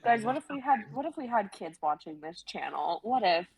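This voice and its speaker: Gravelly, teasing young woman. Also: mid-range voice, very animated, a little fast, a crowd in the background, low-quality recording. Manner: teasing, giggly. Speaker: stream co-host. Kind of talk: casual chat on a live stream.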